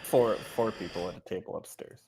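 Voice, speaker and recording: A man playing a tabletop role-playing game, speaking casually and deliberately as he states his moves. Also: deep voice, gravelly, mellow, a little hiss or hum, rough recording.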